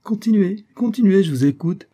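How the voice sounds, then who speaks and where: A man's voice: lowish voice, animated, normal speed, dark tone, husky. A man voicing a brash, self-satisfied male tourist with a blunt, jovial, boastful manner, in a storybook reading. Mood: haggling.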